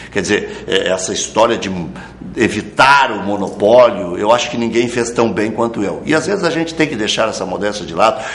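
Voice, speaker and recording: A man's voice: on the low side, fairly bright tone, reverberant, a little hiss or hum. A man speaking as an interviewee in a proud, assertive way.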